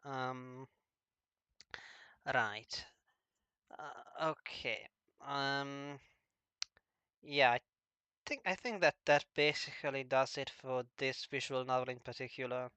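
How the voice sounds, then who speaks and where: A man hosting a casual, unscripted interview, halting and stammering as he feels out each question. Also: medium pitch, fairly even intonation, sharp tone, clear, resonant tone, no room sound. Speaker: interviewer, host.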